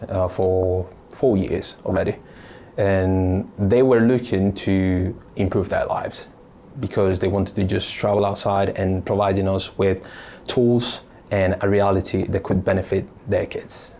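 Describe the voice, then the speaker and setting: Deep, mellow-voiced man from Italy. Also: fairly even intonation, a little hiss or hum. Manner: thoughtful, halting, many restarts and fillers. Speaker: podcast guest. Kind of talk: casual interview storytelling.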